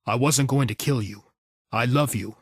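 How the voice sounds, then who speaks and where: A man's voice: mid-range voice, moderate pace, breathy edge, no echo, clean studio sound. A man voicing a hard, brooding male gang leader with a terse, intense manner in an audiobook. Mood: tense.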